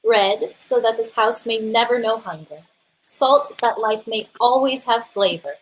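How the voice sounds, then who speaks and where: A woman's voice: on the high side, unhurried, natural voice. A woman acting as a gracious, kindly young wife in a radio play. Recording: noisy home online-call audio.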